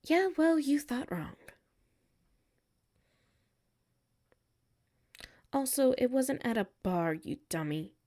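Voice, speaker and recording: A woman's voice: high voice, sing-song, moderate pace, warm tone. A woman playing a hurt, defensive tsundere coworker with a secret crush in a roleplay audio, exasperated and emphatic, dropping into sulky murmurs. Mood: exasperated, flustered. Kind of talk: roleplay audio acting, one side of a conversation.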